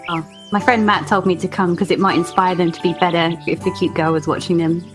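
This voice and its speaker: A woman's voice: on the low side, fairly even intonation, fairly quick, music in the background, pitched below the performer's own voice, lightly acted. A woman playing a bold, teasing teenage schoolgirl in a tabletop game, playful and casual.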